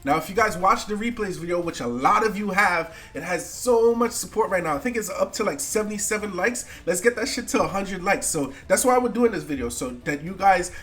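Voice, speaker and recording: A man's voice: sits high, expressive, brisk, hardly any pauses, a bit bright. Stuffy-nosed young man, casual gamer talk. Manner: loose, slangy, repeats "right?" often. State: congested, recovering from a cold. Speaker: gaming YouTuber. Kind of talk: casual commentary on card game decks.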